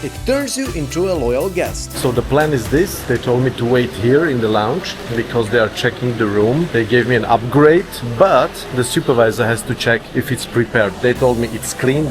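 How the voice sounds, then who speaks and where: A man giving an upbeat, chatty vlog tour of a luxury hotel, pointing things out as he walks through the rooms. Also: mid-range voice. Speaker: travel vlogger, hotel reviewer. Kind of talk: casual vlog narration and walkthrough. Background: background music.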